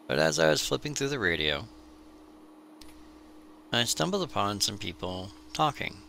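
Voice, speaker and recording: A man hosting a maker video, telling his story as scripted voice-over in deliberate phrases. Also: medium pitch, sing-song, gravelly, pushed and bright, a little hiss or hum.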